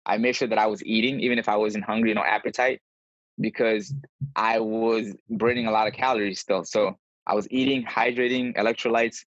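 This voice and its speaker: A man's voice: lowish voice, fairly even intonation, brisk, a bit bright, no echo. A man talking casually and earnestly as an interview guest, telling his own experiences over a video call. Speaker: podcast guest, endurance runner. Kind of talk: casual conversational interview answers. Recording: dull lo-fi video call audio.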